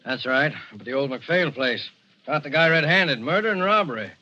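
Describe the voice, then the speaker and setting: A man's voice: a little high, lively intonation, moderate pace, sharp tone. A man acting a laconic, matter-of-fact police officer in a 1940s radio drama. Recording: dull, noisy vintage 1940s radio recording.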